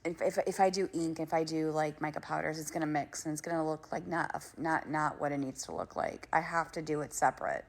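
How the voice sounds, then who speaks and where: Woman streamer rambling about her craft, kinda low and gravelly, swears a bit. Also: fairly quick, few pauses. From Florida. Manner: rambling, thinks aloud, casual swearing. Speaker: livestreamer. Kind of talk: casual livestream chat while crafting.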